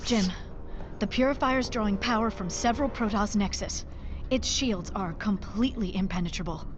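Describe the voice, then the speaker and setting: A woman's voice: mid-range voice, normal speed, lightly acted. Woman acting earnest colony doctor over music. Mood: earnest, worried. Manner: urgent, imploring. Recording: dull game audio under music.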